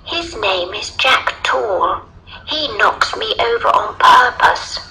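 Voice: medium pitch, animated, slow, vocal fry, pushed and bright, pitched above the performer's own voice, exaggerated voice, poor audio. A storyteller voicing a small schoolgirl, timid yet plucky, with an earnest, plaintive way of talking.

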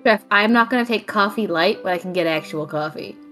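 A woman's voice: mid-range voice, a little fast, pushed and bright, faint music behind, lightly acted. A woman playing a dry, affectionately sarcastic young homebody, teasing her best friend in an audio drama. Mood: wry, teasing. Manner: wry, teasing.

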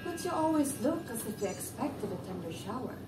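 A woman's voice: a little high, big pitch swings, a little fast, recorded from a distance, heavy background noise. A young woman playing a shrewd, restless, debt-ridden woman scholar in a stage play, theatrical and musing. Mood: brooding, fretful. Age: young adult. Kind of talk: amateur stage play acting. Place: indoor living-room stage set. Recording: echoey stage from afar, music behind.

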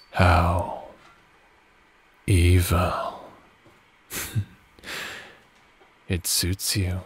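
A man's voice: deep voice, exaggerated voice. A man playing an affectionate, playfully wicked necromancer husband in a theatrical, tender ASMR roleplay. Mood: seductive, teasing. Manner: tender, teasing, theatrical, often chuckling. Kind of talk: ASMR romantic roleplay audio drama.

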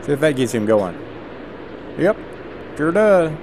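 A middle-aged man playing an air traffic controller, reading radio clearances with drawling, casual asides between calls. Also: a little high, very animated, measured pace. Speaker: gameplay streamer. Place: small home room indoors.

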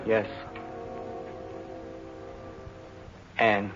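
An actor playing a brash, impulsive, cynical suitor with a blunt, clipped manner in a 1940s radio drama. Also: male voice, fairly low pitch, completely flat delivery, a little slow, fairly bright tone, soft background music. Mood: intent. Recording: dull, faintly noisy 1940s radio recording.

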